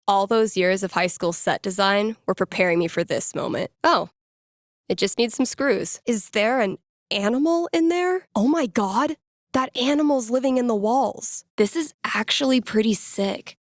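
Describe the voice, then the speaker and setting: A woman's voice: medium pitch, no room sound, clean studio sound, lightly acted. A woman voicing a wry, casual young American record store DJ in a video game, muttering to herself with self-amusement. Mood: startled, disgusted, then upbeat, wry. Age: young adult. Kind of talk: naturalistic video game voice acting.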